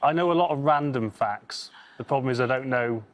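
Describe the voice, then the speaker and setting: A man in his thirties talks casually on a quiz show as team captain, easygoing and a little rambling. His voice sits at a middle pitch. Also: a little fast. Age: 30s. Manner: relaxed, chatty, with fillers. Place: television studio.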